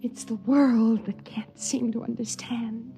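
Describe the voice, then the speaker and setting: An actress playing a weary, frail young wife in a 1950s radio drama, with a brooding, theatrical delivery. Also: female voice, on the high side, fairly even intonation, slow, gravelly, mellow. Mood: grim, haunted. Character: weary, frail, submissive young wife in post-nuclear shelter. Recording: muffled vintage radio recording with music.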